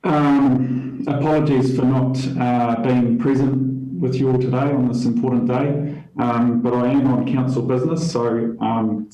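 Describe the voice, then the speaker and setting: A councillor speaking politely and hesitantly to a meeting over a video call, with frequent ums. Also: male voice, mid-range voice, steady tone, measured pace, few pauses, warm tone, echoey room, muffled recording. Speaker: regional councillor. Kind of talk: formal meeting remarks.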